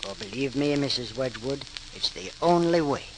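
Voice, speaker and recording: An actor playing a grave, urgent doctor speaking deliberately in an old-time radio drama, on a noisy vintage recording. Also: male voice, mid-range voice, animated, lightly acted.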